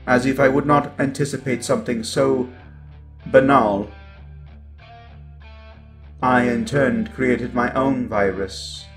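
A man's voice: mid-range voice, lightly acted. A man voicing a sinister, cold and grandiose robot overlord with glitchy, stuttering speech in an ASMR roleplay monologue.

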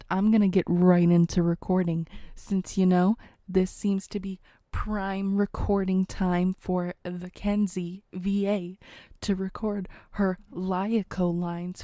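A woman's voice: mid-range voice, dark tone, no room sound, clean studio sound. A woman, an amateur voice actor, chatting playfully and casually before she records.

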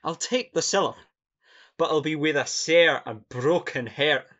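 An actor playing an earnest young Scottish peasant lad in love, sentimental and declamatory, in a farcical radio play. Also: male voice, fairly high pitch, measured pace, a bit bright, highs cut off. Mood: mournful.

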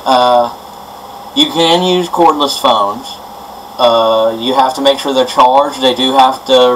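Casting: male DIY presenter, mid-pitched, informal and halting, with faint noise on an amateur camera recording. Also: fairly bright tone. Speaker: presenter of a DIY electronics tutorial. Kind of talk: informal hands-on tutorial. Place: indoors at a wooden workbench. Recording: noisy amateur camera audio.

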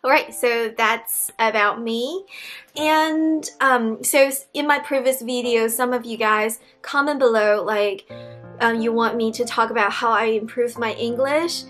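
A woman's voice: on the high side, measured pace, fairly bright tone, soft background music. A young woman from Beijing with a Mandarin Chinese accent, talking casually to camera as an English teacher, explaining in a friendly way with frequent ums and restarts, recorded in a small indoor room. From Beijing, China. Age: young adult. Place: small indoor room with wood-panelled wall.

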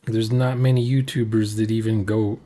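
Husky older man giving reaction commentary. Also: lowish voice, level tone, moderate pace, dark tone. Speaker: video host commenting on a game recording.

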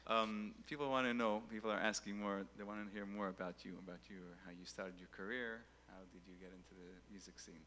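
Bright-toned host, low-voiced man. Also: fairly even intonation, a little hiss or hum. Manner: eager, quick to chime in. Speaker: show host and keyboard player. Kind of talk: casual interview on stage. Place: dark stage behind a keyboard. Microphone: mic on a stand.

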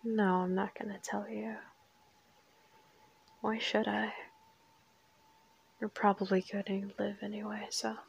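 A woman voicing a crazed, teasing assassin kidnapper in an intimate audio roleplay, whispery and unhurried, with a taunting menace. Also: mid-range voice, level tone, heavily acted. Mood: wistful, withholding. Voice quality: breathy, whispery. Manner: taunting, unhurried, coolly threatening.

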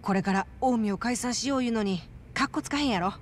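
A woman's voice: on the high side, fast, slight background noise, close to an everyday voice. Streetwise young game informant, female actor, Kansai accent, brash and teasing, mid-to-light casual delivery. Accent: Japanese Kansai.

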